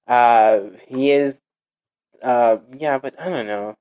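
A man's voice: mid-range voice, a little mellow, smooth, low-quality recording. A man in casual chat with friends, rambling and correcting himself as he talks. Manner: rambling, self-correcting, quick to clarify.

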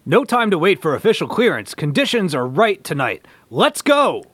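A man's voice: sits high, very animated, moderate pace, a bit bright, no room sound, full character voice. A man playing a commanding, earnest scientist who leads a superhero team, speaking in melodramatic declarations in a radio play. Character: commanding, earnest heroic scientist leader of comic-book team.

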